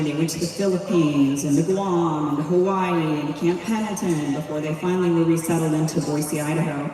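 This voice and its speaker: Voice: medium pitch, mellow. A speaker giving a rousing public speech at a community event, asking many rhetorical questions. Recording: echoey hall, voice from far off.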